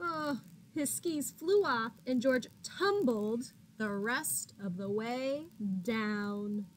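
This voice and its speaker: A woman teacher reading a picture book aloud to young children, expressively, pausing to put questions to them and gasping for suspense. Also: on the high side, a little hiss or hum, rough recording. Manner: expressive, addresses children as friends, gasps for suspense.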